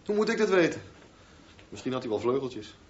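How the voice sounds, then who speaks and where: A dull, faintly noisy radio play recording with an animated voice: a man as a cheeky, stubborn lad. He is wry and flippant, and very much his own boss. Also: mid-range voice, fairly quick, lightly acted. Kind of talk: radio drama dialogue.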